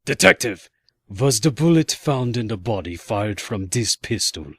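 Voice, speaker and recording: A man's voice: lowish voice, expressive, a little slow, husky, no echo, exaggerated voice. An actor playing an arrogant, bossy male prosecutor with a pompous, theatrical and condescending delivery in a fan audio drama. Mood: haughty. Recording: voice over background music.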